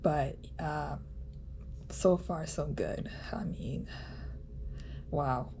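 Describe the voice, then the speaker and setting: A woman giving a casual vlog update in a nasal voice, chatty and halting with frequent ums. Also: low voice, gravelly, warm tone, a little hiss or hum, low-quality recording. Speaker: vlogger.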